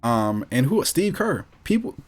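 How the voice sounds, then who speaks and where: A man with a mid-pitched voice talks casually as a podcast co-host. He is animated and full of slang, doubling back on phrases to drive them home. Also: unhurried, soft background music. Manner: animated slangy storytelling, repeating himself for emphasis. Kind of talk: casual chat about movies and sports.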